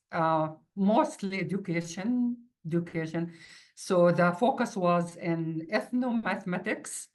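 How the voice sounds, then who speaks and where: Middle-aged female interviewee, Arabic accent, fairly low voice, very unhurried and halting delivery. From Palestinian refugee from the Middle East. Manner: halting, searching for words. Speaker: university mathematics instructor. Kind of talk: informal interview, personal account.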